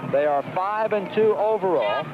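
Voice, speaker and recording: A man's voice: high-pitched, animated, moderate pace. An American man calling a live football game play by play on the radio, in a muffled, noisy 1970s broadcast recorded at an outdoor stadium. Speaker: play-by-play sports announcer.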